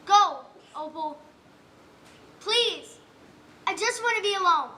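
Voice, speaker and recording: Extremely high, troubled young girl, acted by a woman. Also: lively intonation, takes it slowly, bright, forceful tone, a little hiss or hum, full character voice. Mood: withdrawn. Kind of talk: school stage play acting.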